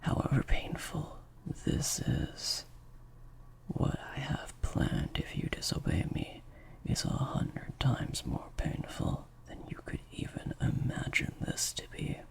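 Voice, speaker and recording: Male vampire master, acted by a man, heavy vocal fry, half-whispered, coldly menacing, controlling, intimate audio roleplay. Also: fairly high pitch, wildly swooping pitch, exaggerated voice. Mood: cold, warning. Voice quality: breathy, creaky half-whisper. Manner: slow, deliberate, hushed delivery with drawn-out pauses. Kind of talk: intimate improvised audio roleplay addressed to the listener.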